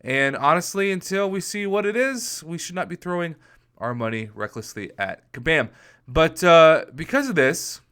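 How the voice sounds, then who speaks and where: A man in his thirties with a hoarse voice, talking casually to his viewers and working through game prices out loud with animated emphasis. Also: a little high, moderate pace. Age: 30s. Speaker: mobile game YouTuber. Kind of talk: casual video commentary.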